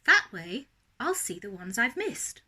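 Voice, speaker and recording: A woman's voice: on the high side, very animated, a little slow, pushed and bright, husky, exaggerated voice. A woman voicing a male rabbit from a children's storybook, flustered and muddled but determined, exclaiming in silly nonsense words.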